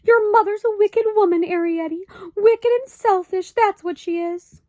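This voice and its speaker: Brief: a woman doing a high-strung storybook mother, shrill and wavering, swinging wide, dry close sound. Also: lively intonation, a little slow, darkish tone, no room sound. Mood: indignant. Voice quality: high, quavering, melodramatic. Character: fretful, tearful tiny mother, middle-aged woman.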